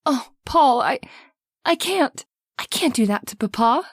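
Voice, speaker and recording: An actress playing a devoted young married daughter in an old-time radio horror drama, with an earnest, melodramatic delivery. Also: female voice, high-pitched, animated, a little slow, breathy edge, dry recording, studio quality, heavily acted. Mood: torn, pleading.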